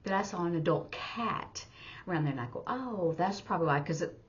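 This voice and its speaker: A woman's voice: fairly low pitch, lively intonation, fairly quick. A middle-aged woman teaching through a casual story, talking in a rambling, conversational way. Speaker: sign language teacher. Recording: slightly dull, lo-fi audio.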